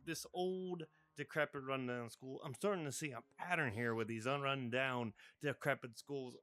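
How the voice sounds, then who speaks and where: A man's voice: medium pitch, fairly bright tone. A man narrating a casual game retrospective in a rambling, conversational way, full of asides and self-mocking jokes. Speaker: video essayist. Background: background music.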